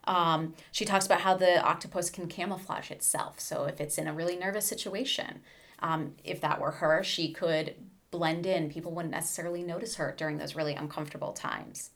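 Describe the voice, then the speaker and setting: A woman speaking as an educator and guest in a book discussion, explaining things in a measured way. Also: fairly low pitch, fairly even intonation, fairly bright tone.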